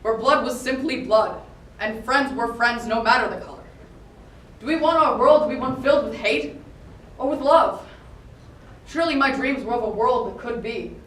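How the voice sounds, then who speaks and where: A woman acts out a gentle revolutionary troll preacher, a male martyr speaking with earnest, measured idealism in a theatrical monologue. The sound is distant and echoey, with faint noise. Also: on the high side, close to an everyday voice. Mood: tender, grateful, then earnest, impassioned. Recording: echoey convention room, distant mic, noisy.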